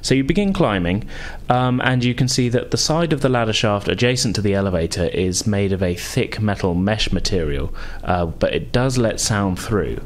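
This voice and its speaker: A man narrating a tabletop roleplaying game as game master, in a measured, descriptive way. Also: fairly low pitch, lively intonation.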